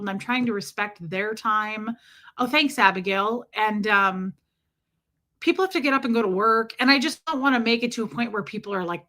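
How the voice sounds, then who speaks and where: Female streamer, bright and forward tone, chatty and warm, lots of filler words. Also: fairly high pitch. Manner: chatty and warm toward viewers, many fillers. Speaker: livestream host. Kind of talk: casual livestream chat, answering viewer comments.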